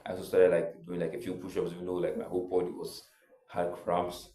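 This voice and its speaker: A man's voice: low-pitched, monotone, brisk, dark tone, reverberant. A young adult man, telling a personal story in a casual, rambling way full of filler words. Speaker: vlogger.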